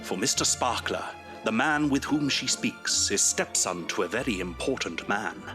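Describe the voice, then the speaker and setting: Male narrator for period audio drama, fairly low, storytelling delivery over clear music. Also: animated, moderate pace. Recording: music behind the voice.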